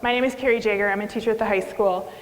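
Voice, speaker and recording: A woman teacher moderating a panel, giving friendly, clear instructions. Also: mid-range voice, steady tone, racing. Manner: friendly, explanatory. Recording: long hall reverb.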